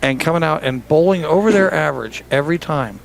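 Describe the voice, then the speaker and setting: A man's voice: on the high side, expressive, a little fast, gravelly, slight background noise. A man doing live bowling commentary in a joking, chatty way, teasing his partner and laughing often. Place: bowling center.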